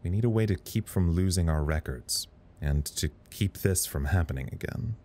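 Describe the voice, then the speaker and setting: An actor playing a wryly philosophical staffer at a trapped research site, musing dryly in an audio drama log. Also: male voice, low voice, animated, moderate pace, warm tone. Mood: pensive. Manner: musing, dry, wry.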